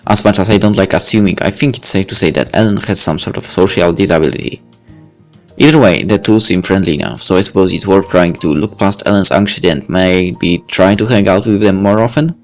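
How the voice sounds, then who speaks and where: A man reading a visual novel aloud in a relaxed, casual way, adding his own comments and sometimes tripping over words. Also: low voice, a little fast, faint music behind. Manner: relaxed, casual, with occasional slips and restarts. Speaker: let's play host reading a visual novel.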